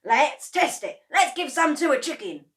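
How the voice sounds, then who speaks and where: Woman putting on a frantic yelling farmer dad, high and all over the place. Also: huge pitch swings, takes it slowly, full character voice. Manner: shouting, frantic, bossy. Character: excitable loud middle-aged farmer father.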